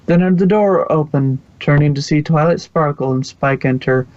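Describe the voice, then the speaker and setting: A man's voice: on the high side, moderate pace, a little mellow, no room sound, muffled recording. A man reading fan fiction aloud as the narrator, close to the microphone.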